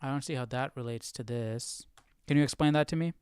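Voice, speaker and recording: A man's voice: mid-range voice, fairly quick, a little mellow, clear, resonant tone, dry recording. A man hosting a live stream with casual, informal commentary, musing aloud and repeating phrases as he thinks. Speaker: host of a live Bible study stream.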